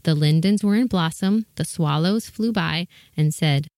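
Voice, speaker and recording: A woman reading a scripted children's story as narrator, in a measured, expressive manner. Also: fairly low pitch, dry recording, studio quality.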